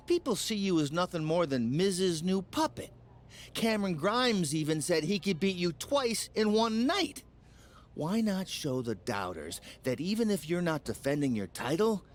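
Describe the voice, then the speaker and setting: A slightly high, very lively male voice for a wrestling boss in a game. He needles and persuades, pressing each point with swooping emphasis. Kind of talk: video game cutscene acting.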